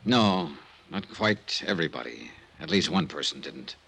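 An actor playing a shrewd, world-weary investigator in an old time radio serial, clipped and matter-of-fact, narrating and questioning. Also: male voice, a bass voice, expressive, moderate pace, vocal fry, fairly bright tone, slightly rough, exaggerated voice. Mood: firm, probing. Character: shrewd, world-weary male investigator who narrates his own case. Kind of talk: old time radio detective acting, part dialogue, part narration. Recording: old radio recording with music.

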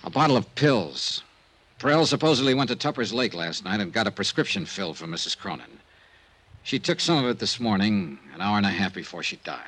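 An actor playing a shrewd, world-weary investigator in an old time radio serial, clipped and matter-of-fact, narrating and questioning. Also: male voice, on the low side, lively intonation, a little fast, fairly bright tone, poor audio. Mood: firm, probing. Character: shrewd, world-weary male investigator who narrates his own case. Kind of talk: old time radio detective acting, part dialogue, part narration. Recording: old radio recording with music.

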